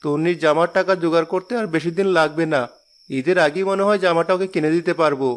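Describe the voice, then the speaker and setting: A man voicing a poor, kind-hearted father who works as a cart pusher in a cartoon, talking in a humble, soft-spoken way. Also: fairly high pitch, quick pace, clear, resonant tone, close to an everyday voice.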